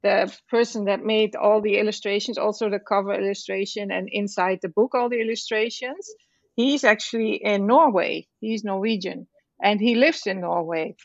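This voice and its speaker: A woman in her fifties with a Dutch accent, a children's book author being interviewed, talking in a halting way with many fillers and restarts. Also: mid-range voice, no echo, highs cut off. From Netherlands. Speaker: author guest. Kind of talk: casual interview chat.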